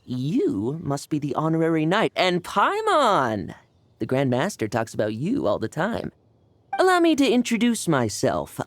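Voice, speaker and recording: Wildly animated young male knight. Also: fairly low pitch, dry recording. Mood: friendly, excited. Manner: breezy, informal, eager. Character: brash, battle-eager young male knight, cheerful and breezy. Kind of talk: video game voice acting. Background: game music.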